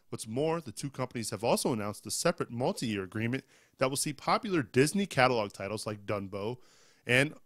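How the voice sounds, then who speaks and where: A man's voice: medium pitch, no echo, studio quality. A man co-hosting a casual tech news podcast, reading headlines in a conversational way with some fillers, just over a cold. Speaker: podcast co-host.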